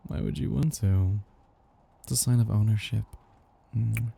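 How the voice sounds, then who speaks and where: A man voicing a possessive, teasing vampire master in an intimate roleplay ASMR, with a slow, condescendingly affectionate manner and breathy delivery. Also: heavily acted. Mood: indulgent, possessive. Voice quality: breathy, low, dark.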